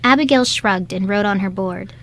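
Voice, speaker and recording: A woman's voice: mid-range voice, normal speed, fairly bright tone, a little hiss or hum. A woman reading scripted story narration as the narrator of a radio play.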